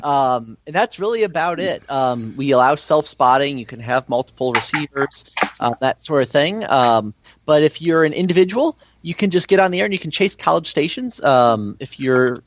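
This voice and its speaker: A man's voice: a little high, expressive, moderate pace, dull audio, slight background noise. A man speaking as a guest in a casual interview, explaining things in a conversational way. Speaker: guest, amateur radio contest organizer.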